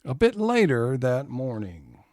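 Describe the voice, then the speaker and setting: A man's voice: medium pitch, very animated, measured pace, background noise. A man narrating scene changes for a scripted audio comedy.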